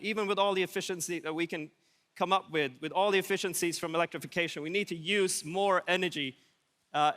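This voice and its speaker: A man presents to a conference in a high, clean voice. His delivery is casual and dryly wry, and the sound rings with the long reverb of a large hall. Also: pushed and bright. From Sweden. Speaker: conference speaker.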